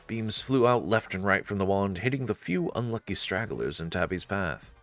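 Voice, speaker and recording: A man's voice: low-pitched, lively intonation, moderate pace, a bit bright. A man narrating a visual novel in a storytelling style. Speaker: narrator and let's-play reader. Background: faint music.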